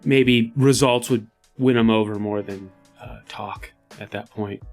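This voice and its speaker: A man's voice: on the low side, steady tone, measured pace, a little mellow, soft background music. A man playing a tabletop RPG, improvising casually in character in a hesitant, rambling way. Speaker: player in a tabletop RPG podcast.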